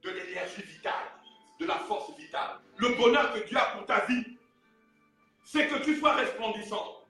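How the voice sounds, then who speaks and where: High, bright-voiced Gabonese preacher. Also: male voice, animated, normal speed, soft background music. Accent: Gabonese French. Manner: fiery preaching, rising to shouts, urging the congregation. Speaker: pastor. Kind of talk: sermon. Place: church hall with a congregation. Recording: very echoey hall recorded from a distance.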